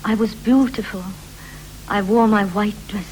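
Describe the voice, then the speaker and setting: An actress playing a dreamy, possessive wife in a 1960s radio play, speaking in measured, lyrical, rhythmic phrases. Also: female voice, mid-range voice, steady tone, darkish tone, natural voice, rough recording. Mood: proud, possessive. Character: Mary, dreamy, possessive wife recalling wedding and marriage. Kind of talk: 1960s BBC radio play, poetic stylised dialogue. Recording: 1960s radio recording with hum, hiss.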